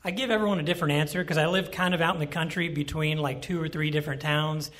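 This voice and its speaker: A man from Ohio with a nasal voice, a country musician telling stories in a relaxed, rambling way during an interview. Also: on the high side, fast talker. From Ohio, USA. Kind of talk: casual interview talk.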